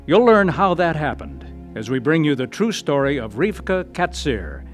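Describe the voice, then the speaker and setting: A man introducing a radio drama in a measured, formal announcer's delivery. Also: fairly high pitch, wildly swooping pitch, faint music behind.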